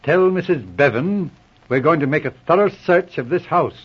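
An actor playing a shrewd, self-assured police inspector with a clipped, authoritative manner in a 1940s radio drama. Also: male voice, a little high, lively intonation, normal speed, slightly rough. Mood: commanding. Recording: muffled old radio broadcast recording.

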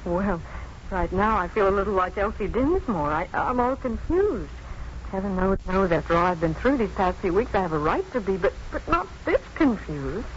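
An actress playing an anxious, wry young expectant wife in 1950s radio drama, with a halting, self-mocking way of talking. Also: female voice, medium pitch, close to an everyday voice. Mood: confused. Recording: dull, faintly noisy 1950s radio broadcast.

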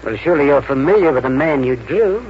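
A man's voice: fairly high pitch, expressive, quick pace, lightly acted. A man plays a businesslike gallery owner in an old radio play. His probing, matter-of-fact voice moves up and down a lot. The vintage recording is dull with some faint noise. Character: brisk, businesslike, impatient male art gallery owner. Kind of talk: 1940s radio drama acting.

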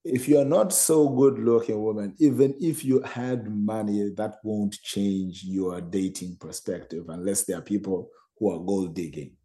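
A man co-hosting a podcast in casual chat, halting and repeating words before he makes his point. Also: fairly low pitch, warm tone. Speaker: podcast co-host.

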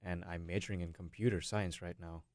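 Deep, smooth young male student. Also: fairly even intonation, brisk, darkish tone, no echo, studio quality. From Bangalore, India. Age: late teens. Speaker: podcast guest, college student. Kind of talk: casual interview talk.

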